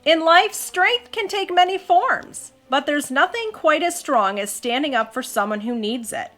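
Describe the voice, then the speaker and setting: Woman, higher pitch, children's read-aloud host, expressive delivery, casual asides to kids, background music. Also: unhurried. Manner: expressive, addresses child listeners with questions. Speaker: host, mother reading to her child.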